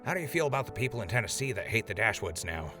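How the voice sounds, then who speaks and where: A man's voice: on the low side, sing-song, very fast, music in the background. A man playing an earnest, cheeky wasteland radio host in an audio drama, conversational and deferential. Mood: earnest, curious. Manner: conversational, deferential, hesitant when flustered. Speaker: radio host interviewing a general.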